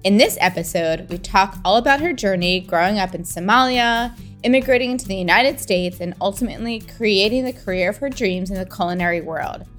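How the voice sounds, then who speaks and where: A woman hosts a wellness podcast in an upbeat, enthusiastic way, moving between a scripted intro and friendly questions. Her voice sits in a middle pitch, and soft music plays faintly underneath. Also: brisk, few pauses. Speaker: podcast host and company founder.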